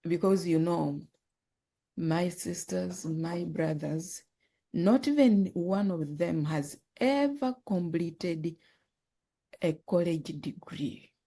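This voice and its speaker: A woman from Uganda, telling her life story as a podcast guest, earnest and halting, often repeating words. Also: lowish voice, warm tone. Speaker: guest, author and nonprofit founder.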